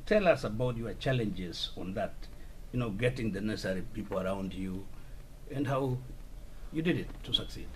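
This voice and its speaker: A man interviewing a guest in a formal, halting way, often restarting his questions. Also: fairly low pitch, lively intonation, darkish tone, slight background noise.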